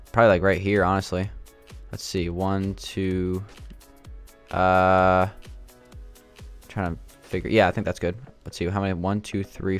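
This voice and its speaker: Young male gaming host, low voice, a touch raspy, hesitant, unhurried chatter. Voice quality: slightly raspy from yelling. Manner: thinking aloud, hesitant, many fillers. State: sore throat. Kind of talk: casual gameplay commentary.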